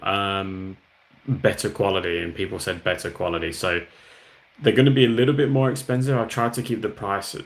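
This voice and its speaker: A man's voice: on the low side, gravelly. A man, host of a hobby channel, chatting casually on a livestream, rambling and reading out viewer comments. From Australia.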